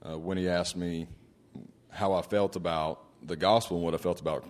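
A man giving a reflective personal testimony from a conference stage, speaking in a measured way with many fillers. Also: deep voice, steady tone.

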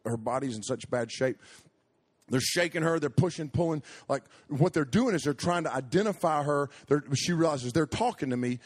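A man preaching a sermon, telling a story with animated, jokey asides and frequent self-interruptions. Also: a little high, normal speed, husky.

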